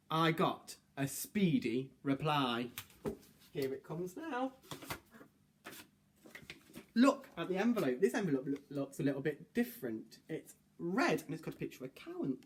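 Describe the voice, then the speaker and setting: Casting: male children's storyteller, very expressive melody, measured delivery with explanatory asides. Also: a little high, a little hiss or hum. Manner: explanatory, asks listeners questions. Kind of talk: children's story read-aloud with asides to listeners.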